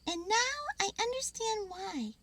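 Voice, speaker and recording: A woman voicing an excitable, earnest young boy squirrel from a storybook, breathless and exclaiming. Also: very high voice, unhurried, pitched above the performer's own voice, heavily acted. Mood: wondering.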